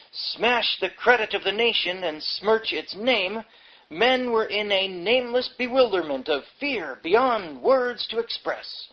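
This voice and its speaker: Man narrating a book, dull lo-fi sound. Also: high voice. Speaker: audiobook reader. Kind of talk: book narration with wry asides.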